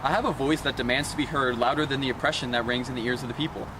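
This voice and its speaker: A man's voice: mid-range voice, fairly even intonation, rapid-fire, natural voice. A man playing an earnest, resolute colonial statesman, giving formally worded, scripted interview answers. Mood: earnest, defiant. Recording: noisy amateur outdoor recording, traffic.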